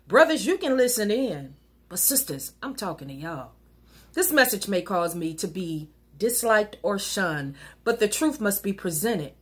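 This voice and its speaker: Husky American woman reciting spoken-word poetry. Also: mid-range voice, fairly bright tone. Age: middle-aged. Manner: deliberate, emphatic, preacherly cadence. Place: wood-panelled living room at home.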